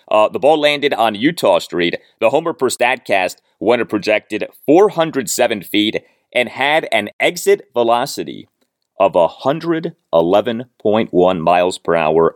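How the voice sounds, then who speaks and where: An American man hosting a sports podcast, giving a solo baseball recap with emphatic, deliberate phrasing and dramatic pauses. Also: on the low side, no echo, studio quality.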